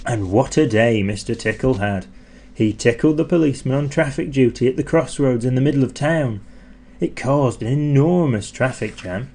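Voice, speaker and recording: Expressive man reading a children's storybook. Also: mid-range voice. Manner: theatrical, drawing out words for effect. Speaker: audiobook narrator.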